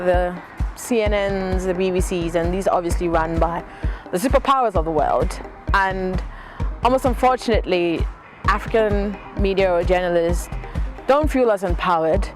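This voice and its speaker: Young Ghanaian woman, quick and fluent. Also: lowish voice. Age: young adult. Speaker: interviewee, young journalist. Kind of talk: earnest to-camera interview answer. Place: outdoors on a campus lawn.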